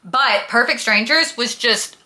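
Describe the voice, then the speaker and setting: A woman's voice: a little high, a little slow, sharp tone. A woman talking casually as a book vlogger, with a gushing, emphatic, dramatic and slangy manner. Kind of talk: casual chatty book review vlog.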